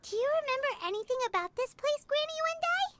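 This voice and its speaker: Squeaky, excitable little fairy sidekick. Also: female voice, quick pace, noisy background. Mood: curious, perky. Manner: speaks of self in third person, perky. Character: cheerful greedy childlike floating fairy companion, very small. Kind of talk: video game voice acting.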